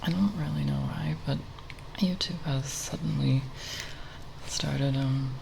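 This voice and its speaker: A woman speaking in a breathy, creaky whisper for ASMR, rambling in a halting, nerdy way. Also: extremely low pitch, noisy background. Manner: halting, unhurried, nerdy musing. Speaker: ASMR video creator. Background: plastic bottle tapping.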